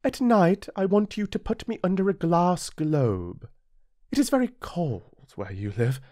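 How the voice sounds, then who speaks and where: Man putting on a high, vain little female flower. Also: sing-song, unhurried, darkish tone, dry recording, studio quality. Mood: fussy, haughty. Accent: British. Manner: affected, demanding.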